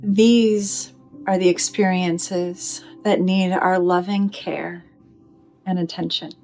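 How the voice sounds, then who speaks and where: A woman leading a guided meditation in a gentle, soothing manner, with deliberate phrasing and pauses. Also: fairly low pitch, fairly even intonation, faint music behind.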